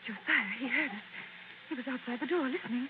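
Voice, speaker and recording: An actress playing a frightened, anxious wife with a trembling voice in an old radio drama. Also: female voice, high-pitched, fast talker, very noisy, close to an everyday voice. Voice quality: fearful and trembling. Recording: tinny old radio broadcast.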